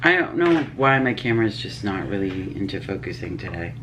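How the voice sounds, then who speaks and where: A woman's voice: a bass voice, sing-song, a little fast, background noise. A woman chatting through a beauty box unboxing, sniffling a little and reading out product names and prices. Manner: chatty, reads prices and labels. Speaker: beauty vlogger. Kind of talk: casual unboxing chat.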